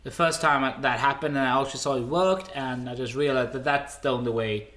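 Young male comic, Swedish-raised, lively exasperated storyteller, bright tone, echoey room. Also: mid-range voice, a little fast. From Sweden. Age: 20s. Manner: animated, exasperated storytelling with mock-indignant asides. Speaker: comedian co-host. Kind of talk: casual chat. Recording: roomy echo.

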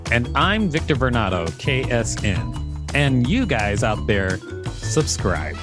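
A man with a mid-pitched voice chats as a podcast co-host. His delivery is casual and conversational, with faint music behind him. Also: very animated, slow pace. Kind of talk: casual commentary.

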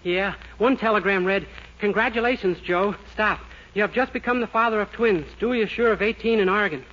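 An actor playing a naive, earnest, rather dim young clerk in 1940s radio sitcom style. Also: male voice, high voice, brisk, natural voice. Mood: earnest, cheerful. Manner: earnest, innocent. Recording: dull old radio recording, loud hiss, clicks.

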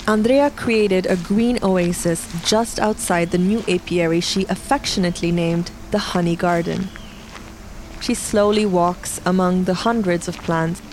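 A woman with a somewhat low voice narrates a scripted documentary reportage. She delivers prepared descriptive text, with ambient noise audible behind her. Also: darkish tone, low-quality recording.

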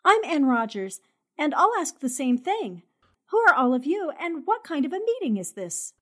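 A woman's voice: sits high, sing-song. A woman playing a forthright, insistent newspaperwoman in a 1940s-style radio drama, assertive and unafraid. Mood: challenging. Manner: assertive, brisk questioning.

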